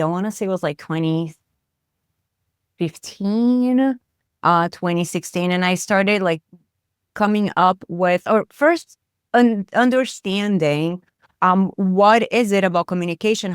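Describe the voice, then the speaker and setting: A woman's voice: lowish voice, clear, resonant tone, no room sound, clean studio sound. A woman with a Brazilian accent, a psychotherapist explaining her ideas thoughtfully in an interview, with many fillers. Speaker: psychotherapist guest. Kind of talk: interview conversation.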